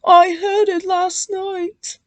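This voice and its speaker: A woman voicing Ben, a cheeky young male twin tank engine, in a squeaky, whiny voice. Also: little pitch movement, very slow, no room sound, exaggerated voice. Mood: uneasy.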